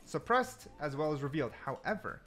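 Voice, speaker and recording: A man hosting gaming videos with casual, animated gameplay commentary, often repeating phrases for emphasis. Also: on the high side, fairly quick, faint music behind.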